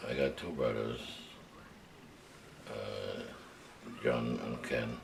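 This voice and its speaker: A Jamaican man in his seventies recalling his village childhood in an oral history interview, unhurried and reflective. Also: very deep voice, steady tone, creaky, noisy background. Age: 70s. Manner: unhurried, reflective storytelling with hesitations.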